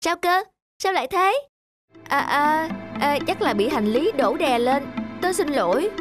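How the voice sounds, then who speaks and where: A woman's voice: high voice, expressive, measured pace. A woman voicing an earnest, polite young flower lover in a children's cartoon, with music behind her. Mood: hesitant, concerned.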